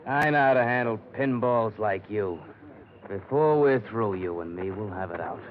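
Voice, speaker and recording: A man's voice: fairly low pitch, lively intonation, normal speed, phone-quality sound, lightly acted. Man playing edgy thug cop, noisy old radio. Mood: sneering threat. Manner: sneering, threatening. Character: edgy, belligerent male cop, the lieutenant's thuggish underling. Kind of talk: 1950s radio detective drama acting.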